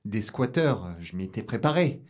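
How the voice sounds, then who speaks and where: A young urban explorer, played by a man, speaks in a lowish voice with colloquial, naturalistic delivery. He is sceptical and easily rattled, questioning everything in an uneasy suspense audio drama manner. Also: animated, moderate pace, lightly acted.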